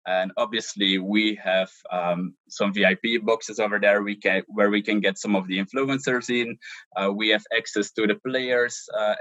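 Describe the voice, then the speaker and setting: Hesitant low-voiced man. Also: fairly bright tone, no room sound, muffled recording. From Belgium. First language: Dutch. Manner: hesitant, many ums and restarts. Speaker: social media manager, interview guest. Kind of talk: casual interview answers.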